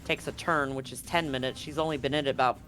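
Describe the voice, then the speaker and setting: A man working as game master, improvising narration for a tabletop roleplaying game in a halting, deliberate way. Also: medium pitch, steady tone, fairly bright tone. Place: live-streamed game session.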